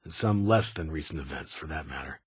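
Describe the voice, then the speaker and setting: An actor playing a stern, weary security chief in a video game, with a husky voice and a formal, clipped, authoritative manner. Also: male voice, a bass voice, animated, a little fast, dry recording, studio quality, heavily acted. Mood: formal, businesslike. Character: stern, weary male vault security chief, guarded but honorable.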